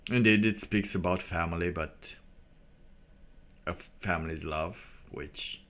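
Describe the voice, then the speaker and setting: A man hosting a casual livestream monologue in a halting, rambling manner with preacher-like asides. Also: sits low, a bit bright. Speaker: livestream host.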